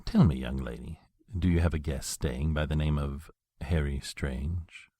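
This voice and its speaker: An actor playing an old, courtly and menacing male vampire in an audio drama, speaking with a suave, husky voice. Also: rumbling low, a little slow, no echo, studio quality, exaggerated voice. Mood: silky. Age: older. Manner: suave, flattering, then threatening.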